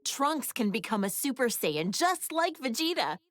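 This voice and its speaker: A woman's voice: on the high side, very animated, normal speed, fairly bright tone, no room sound, studio quality. A woman voicing a proud, sassy adult inventor and mother in an English anime game, with a breezy manner.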